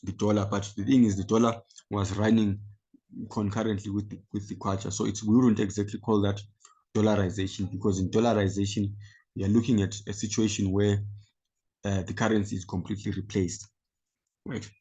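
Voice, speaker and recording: A man's voice: lowish voice, little pitch movement, fairly quick, dark tone, rough recording. A man leading an online economics tutorial, explaining step by step and often checking in with "right?" over a video call. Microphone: computer mic on a video call.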